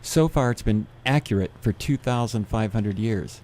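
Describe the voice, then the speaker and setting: A man who gives seminars, guesting on a radio interview, explaining things in a steady, methodical way. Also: on the low side, normal speed. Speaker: seminar speaker.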